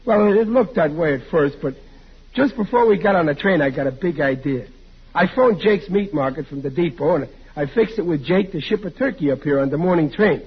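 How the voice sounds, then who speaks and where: A man's voice: on the high side, expressive, brisk, breathy edge, exaggerated voice. An actor playing a bumbling, blustering American father in an old radio comedy, stammering and easily flustered. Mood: sheepish scheming. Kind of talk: 1940s radio comedy acting. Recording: muffled, hissy old radio recording.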